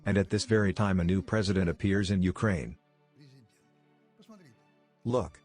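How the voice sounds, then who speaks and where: A man's voice: low voice, fairly even intonation, unhurried, a little mellow, soft background music. A man reading scripted political commentary as a voice-over narrator.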